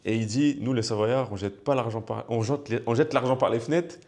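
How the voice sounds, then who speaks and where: A young man from Savoie hosting a casual interview, relaxed and joking, with many fillers. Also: medium pitch, brisk. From Savoie, France. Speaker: host.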